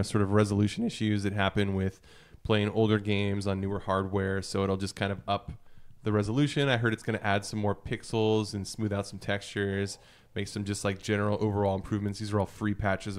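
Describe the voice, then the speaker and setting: A man with a fairly low, clean and resonant voice co-hosts a casual podcast. He talks in a loose, joking way, often breaking into his own sentences. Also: level tone, normal speed, clean tone. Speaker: podcast co-host. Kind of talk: casual group chat, anecdotes and gaming news.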